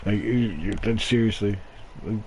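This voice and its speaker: Male gamer, middle-aged, lowish voice, loose self-deprecating rambling, faintly noisy home mic. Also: moderate pace, a little mellow. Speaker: gaming streamer. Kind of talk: rambling solo commentary over gameplay. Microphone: home gaming mic turned up. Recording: noisy home microphone with turned-up gain.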